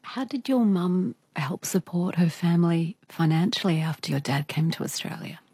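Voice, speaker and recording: A woman hosting a radio interview, asking calm, measured questions. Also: fairly low pitch, husky, dry recording. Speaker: interview host.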